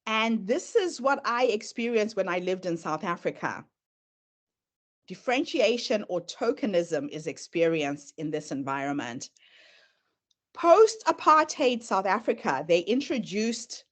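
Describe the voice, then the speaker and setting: A middle-aged woman with a Zimbabwean accent blended with American sounds, a native Shona speaker. She presents in an earnest, storytelling manner, her pitch rising and falling expressively as she moves through her slides. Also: big pitch swings, a little slow. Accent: Zimbabwean, mixed with American. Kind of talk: presentation talk.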